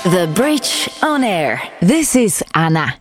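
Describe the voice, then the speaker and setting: A woman's voice: on the low side, very animated, slow. A woman presenter reading a scripted podcast introduction. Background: music under the voice.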